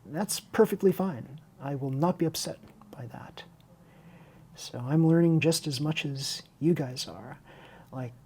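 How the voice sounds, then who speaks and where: Husky woman chatting through a hobby build. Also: low voice, a little mellow. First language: Chinese. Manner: rambling, candid, self-interrupting. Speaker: hobby video host and teacher. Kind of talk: unscripted casual chat over a model car build tutorial.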